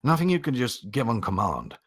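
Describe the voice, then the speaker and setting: A man reading a story aloud as a theatrical narrator, drawing out words for emphasis and pausing for drama. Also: mid-range voice, very animated, breathy edge.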